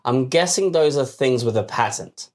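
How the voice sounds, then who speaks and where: Sarcastic tech podcast co-host, medium pitch voice, jokey banter, repeats words over and over. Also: lively intonation, moderate pace. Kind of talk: casual comedic banter.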